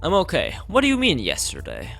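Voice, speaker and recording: A man's voice: on the high side, huge pitch swings, brisk, creaky, a bit bright, pitched above the performer's own voice, full character voice. Odd, absent-minded schoolgirl read by a man for a visual novel, offhand and puzzled, varied intonation, music beneath. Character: vague, forgetful schoolgirl, quietly odd and unbothered.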